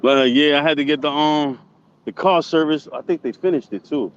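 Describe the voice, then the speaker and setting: A man's voice: a little high, moderate pace, crowd noise. A man chatting casually as a livestream guest, encouraging and given to repeating his phrases. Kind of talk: casual chat. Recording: muffled, dull call audio.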